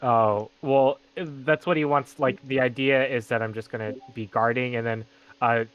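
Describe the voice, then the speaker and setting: Mid-pitched male player, muffled sound. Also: fairly even intonation, moderate pace, clean tone, no echo. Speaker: tabletop game player. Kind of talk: casual game chat. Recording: dull, muffled voice-chat sound.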